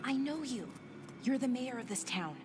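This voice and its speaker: A woman's voice: high-pitched, lively intonation, normal speed. An actress playing a worried, protective woman scout in a video game cutscene, with music behind her. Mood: accusing.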